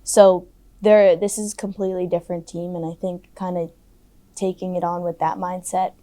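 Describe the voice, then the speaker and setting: Woman lacrosse player chatting about her season, warm low voice, dry sound. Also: little pitch movement, normal speed, no echo. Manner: chatty, many likes and fillers. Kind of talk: interview answers.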